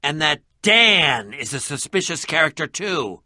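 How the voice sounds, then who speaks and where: A man's voice: fairly high pitch, big pitch swings, measured pace, pushed and bright, dry recording, studio quality, full character voice. A man voicing a pompous, irritable, middle-aged eccentric adventurer and potion maker in a video game, with a dramatic, sardonic, fussy delivery. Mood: wary, uneasy. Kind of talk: animated video game voice acting.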